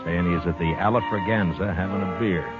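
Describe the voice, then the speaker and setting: A man playing a calm, dry-witted frontier marshal in a 1950s radio western, laconic and steadying. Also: low voice, fairly quick, close to an everyday voice. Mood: easy, reflective. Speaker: actor playing the lead and narrator. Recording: dull 1950s radio, music behind.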